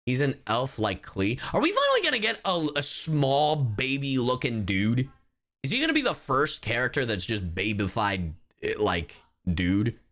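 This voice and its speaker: A man streaming live reaction commentary, casual and expressive, slangy and swearing freely. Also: medium pitch. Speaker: streamer, host.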